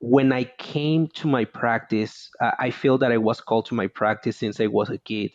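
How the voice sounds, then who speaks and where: A man's voice: medium pitch, fairly even intonation, normal speed, darkish tone, no room sound, muffled recording. A man from Venezuela with a Spanish accent, a guest in casual conversation, often hesitating and searching for words. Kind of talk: casual chat.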